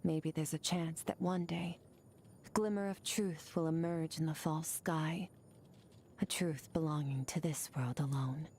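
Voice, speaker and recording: An actress playing an aloof, stoic young swordswoman in a video game, speaking in a cool, measured, detached way. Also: deep voice, fairly even intonation, a little mellow, a little hiss or hum. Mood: solemn, musing. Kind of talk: scripted video game character monologue. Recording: game audio with music underneath.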